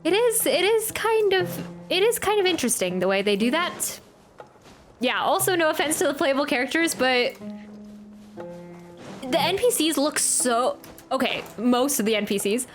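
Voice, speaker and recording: Chatty woman streamer, higher-pitched voice. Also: very animated, moderate pace, pauses often, soft background music. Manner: chatty, rambling, self-interrupting. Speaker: streamer reacting to a video game. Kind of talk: casual gameplay commentary.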